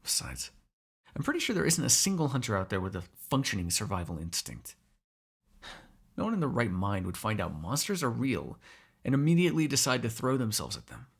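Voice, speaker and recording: A sardonic male vampire, played by a male voice actor in an intimate roleplay audio. His voice is husky and crackles with fry, and it rises and dips freely as he needles and swears. Beneath the sarcasm he is both predatory and fond. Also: mid-range voice, sing-song, brisk, full character voice. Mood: quiet, musing. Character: snarky, teasing male vampire captive, predatory yet fond. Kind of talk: ASMR roleplay acting.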